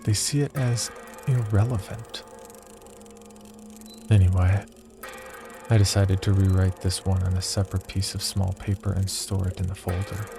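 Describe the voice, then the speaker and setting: A man acting as a cold, detached psychology researcher, reading a sinister diary in a measured, clinical horror-story narration. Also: low-pitched, fairly even intonation, gravelly. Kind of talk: horror audio fiction, first-person diary narration. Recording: loud music bed under voice. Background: loud music.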